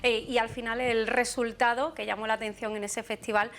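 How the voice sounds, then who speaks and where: Give me a woman hosting a TV studio interview, her voice a little high. She is lively and encouraging, nudging guests along with quick reactions. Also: slight background noise. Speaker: TV host. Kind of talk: talk-show interview.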